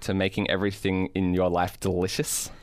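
A man's voice: deep voice, monotone, fairly quick, clear, resonant tone, no echo. Wry man co-hosting a comedy podcast. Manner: questioning, wry. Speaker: podcast co-host. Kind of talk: casual comic banter.

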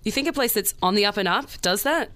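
A woman's voice: mid-range voice, lively intonation, fast, a bit bright, natural voice. A woman playing a confident, persuasive schoolteacher in a noir radio play, with a casual, coaxing manner. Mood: assured.

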